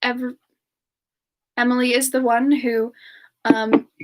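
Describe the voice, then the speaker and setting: A woman voicing a gentle, polite female demon in a soft-spoken, hesitant semi-ASMR chat with viewers. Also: fairly high pitch, level tone, slow pace, poor audio. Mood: gentle, chatty. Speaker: streamer answering viewer questions in character.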